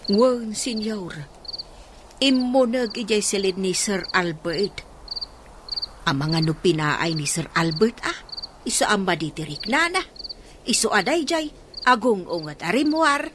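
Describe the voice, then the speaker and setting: A woman's voice: mid-range voice, animated, measured pace, long pauses, background noise, natural voice. A woman playing a gossipy, deferential household servant in a radio drama. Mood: gossipy, indignant.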